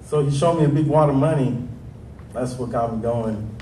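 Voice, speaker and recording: Deep voiced guy in his 30s, nervous, lots of ums, far from the mic in a big echoey room. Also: mellow, a little hiss or hum. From Stockton, California. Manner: hesitant, many ums and pauses. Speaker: panel speaker. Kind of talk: nervous personal testimony. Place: large hall.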